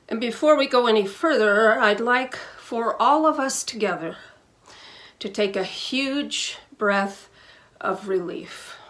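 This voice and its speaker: Measured woman minister welcoming a congregation. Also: fairly high pitch, fairly bright tone. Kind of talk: worship service welcome, scripted sermon. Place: recorded at home.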